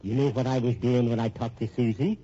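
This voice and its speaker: An actor playing a smug, scheming husband in an old-time radio drama, full of stammering, mock-genial courtesy. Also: male voice, medium pitch, steady tone, fairly quick, dark tone, lightly acted. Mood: sly, smug. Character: scheming, smug, sardonic husband playing everyone against each other. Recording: muffled, noisy old radio recording.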